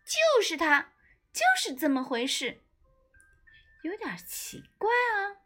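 A woman voicing a small, indignant young apprentice chef with pouty, fast exclamations in a storybook. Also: very high-pitched, sing-song, sharp tone, faint music behind, voice pushed up, full character voice. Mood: puzzled.

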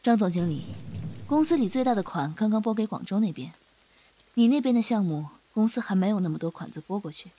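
An actress playing a composed, caring female company director in an audio drama, reserved and decisive, speaking in a calm, controlled way. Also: medium pitch, a little fast, natural voice. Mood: weary. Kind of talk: Mandarin audio drama acting.

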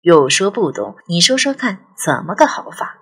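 A woman's voice: on the low side, big pitch swings, normal speed, a bit bright, roomy sound, long reverb tail. A woman voicing a jovial, playful middle-aged father who likes to boast, in an audio drama. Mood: cheerful, coaxing. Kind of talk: audio drama character dialogue.

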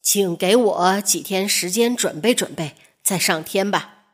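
A woman voicing a clever, anxious male carpenter in a storybook, speaking in a hushed, earnest manner. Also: mid-range voice, measured pace, breathy edge, pitched below the performer's own voice. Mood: alarmed, cautious. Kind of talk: storybook character voice.

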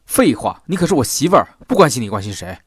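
A man playing a gruff, protective young man in love in an audio drama, with a husky voice. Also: mid-range voice, big pitch swings, quick pace, no room sound, studio quality. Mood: urgent, ardent.

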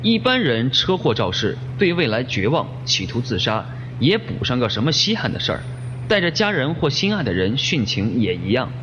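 Casting: male actor as police detective, blunt and explanatory, very animated intonation, dull noisy recording. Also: medium pitch, normal speed, lightly acted. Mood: matter-of-fact. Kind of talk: radio drama dialogue. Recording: dull lo-fi, noisy, reverberant hall.

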